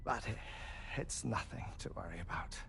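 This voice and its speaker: A man playing a gentle, wry middle-aged scientist in a video game cutscene, speaking in a measured, polite, slightly whimsical and wistful way. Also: deep voice, vocal fry, a little hiss or hum. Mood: wry, resigned.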